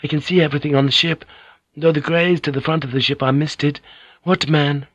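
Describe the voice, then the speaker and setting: A man's voice: a little high, steady tone, a little fast, fairly bright tone, breathy edge, no echo, muffled recording, pitched above the performer's own voice. A man voicing a young Japanese noblewoman, an earnest interpreter who speaks politely and with formal care. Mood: puzzled. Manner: formal, careful phrasing.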